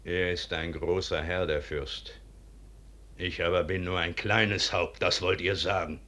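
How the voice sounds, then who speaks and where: An actor playing a proud, ruthless low-born officer in a classical verse radio drama, speaking in an insistent, declamatory way. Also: male voice, fairly even intonation, a little slow, sharp tone. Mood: proud, defiant.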